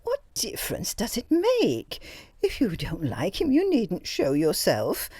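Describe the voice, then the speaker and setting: A woman's voice: mid-range voice, wildly swooping pitch, a little slow, dark tone, slightly rough, no echo, voice pushed up, exaggerated voice. A woman voicing a timid society woman with a sugary, wailing voice. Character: frightened, whining society woman.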